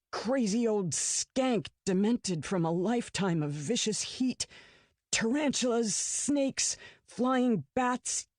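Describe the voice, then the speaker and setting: Woman voicing a dying man, strained high bursts, bitter, desperate, dry close sound. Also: slightly rough, no room sound, full character voice. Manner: strained, pitched up, exhausted bursts.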